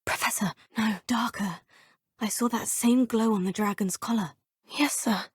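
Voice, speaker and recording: A woman's voice: a little high, steady tone, moderate pace, husky, no room sound, studio quality. An actress voicing a polite young female student witch in her fifth year, speaking formally to her teachers in game voice lines. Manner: polite and formal, addressing teachers as sir and professor. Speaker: player character. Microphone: close studio mic.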